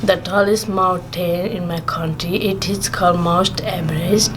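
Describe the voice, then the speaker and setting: Woman from Nepal, slow hesitant learner's English, music behind. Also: on the low side, steady tone. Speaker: student. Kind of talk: telling a personal story.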